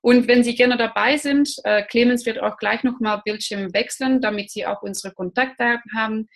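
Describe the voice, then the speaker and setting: A woman from Belgium with a Belgian accent, calmly explaining concepts as a mindfulness trainer. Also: a little high, normal speed. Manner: plain, explanatory, with fillers. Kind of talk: explanatory talk to an online audience.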